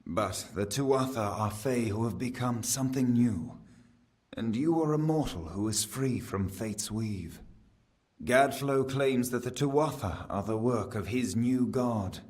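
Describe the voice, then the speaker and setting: A man's voice: medium pitch, lively intonation, measured pace, darkish tone, close to an everyday voice. An actor playing a solemn, ancient fae king in a fantasy game, speaking in a formal, portentous manner. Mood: grave counsel. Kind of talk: scripted fantasy game acting.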